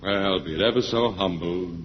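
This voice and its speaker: An actor playing a sardonic, resigned human prisoner in a 1950s radio drama, with hesitant, wry delivery. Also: male voice, sits low, moderate pace, breathy edge. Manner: sardonic, hesitant, with repeated words. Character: wry, resigned human captive in an alien zoo. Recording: dull, muffled vintage radio recording.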